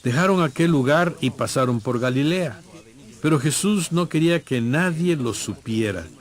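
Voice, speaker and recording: A man narrating a scripted scripture story in a measured storytelling manner. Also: fairly high pitch, animated.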